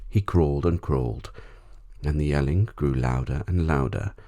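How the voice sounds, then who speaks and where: A man's voice: rumbling low, moderate pace, dark tone. A man narrating a bedtime story in an ASMR audiobook style.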